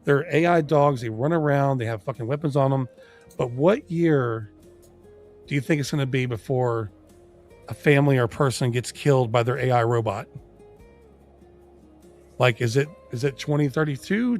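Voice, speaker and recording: A man's voice: medium pitch, normal speed, long pauses, darkish tone. A man on a late livestream, voice wearing out, cursing casually and tripping over words as music plays faintly behind him. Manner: sweary, informal, stumbling over words. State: losing his voice. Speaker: livestream co-host.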